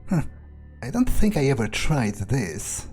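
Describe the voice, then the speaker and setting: Man, medium pitch, in-character game commentary, informal, sweary, faint music behind. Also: very animated, measured pace, darkish tone, husky. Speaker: gamer playing in character. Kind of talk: in-character video game playthrough, thinking aloud.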